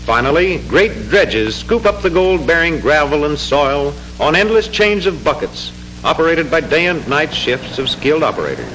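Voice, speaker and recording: A man narrating a 1940s educational film in a formal, measured, declamatory manner, reading from a script. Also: a little high, sing-song, fairly bright tone, low-quality recording. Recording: 1940s film soundtrack with music. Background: music.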